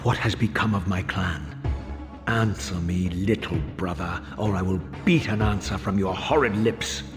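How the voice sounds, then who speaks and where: A man voicing a proud, vengeful undead vampire in a video game, brooding and bitter, with measured, grandiose, disdainful theatrical delivery. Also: deep voice, over music. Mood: angry, demanding. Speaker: protagonist narrator. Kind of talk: dramatic scripted game narration.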